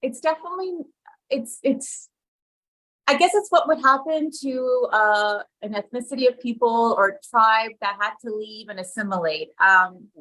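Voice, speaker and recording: Bright-voiced woman chatting informally. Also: on the high side, normal speed, low-quality recording. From born in Israel, moved to America as a child. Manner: informal, hesitant, thinking aloud. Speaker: guest, community leader and activist. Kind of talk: casual interview conversation.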